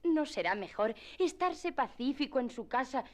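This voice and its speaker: High-voiced earnest young niece. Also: female voice, very animated, moderate pace. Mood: pleading, scolding. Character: earnest young niece, anxious and gently scolding. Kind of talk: classic radio drama acting.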